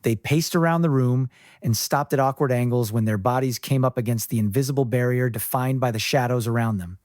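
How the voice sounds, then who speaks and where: A man reading scripted fiction as an audiobook narrator, in a measured storytelling manner. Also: medium pitch, a little mellow, dry recording, clean studio sound.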